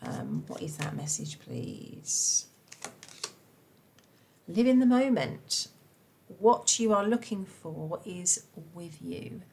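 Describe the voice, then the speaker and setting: A woman with a croaky, slightly nasal voice from a cold, chatting warmly and reassuringly through a pick-a-card tarot reading. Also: on the low side, huge pitch swings, slow, long pauses. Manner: chatty, reassuring, many fillers. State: croaky voice from a cold, blocked nose. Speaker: tarot reader.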